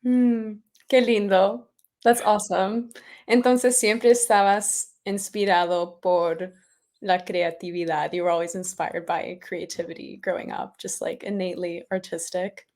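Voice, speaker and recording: A woman's voice: medium pitch, fairly even intonation, a little slow, a little mellow. A young American woman interviewing a guest on a video call, upbeat and encouraging, switching between Spanish and English. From United States. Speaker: executive manager, interviewer. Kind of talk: casual interview chat.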